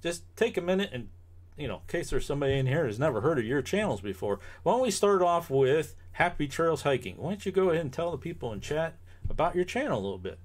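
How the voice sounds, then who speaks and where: A man's voice: on the high side, very animated, quick pace. A man hosting a live online panel from home, easygoing and fiddling with the audio setup as he talks. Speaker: host of a live panel stream. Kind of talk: casual live-stream hosting.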